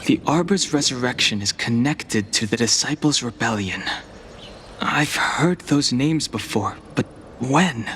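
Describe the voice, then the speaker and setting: A man's voice: mid-range voice, lively intonation, a little slow, a bit bright, slight background noise. An actor playing a reserved young male exile in a video game, restrained and introspective. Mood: brooding, reserved.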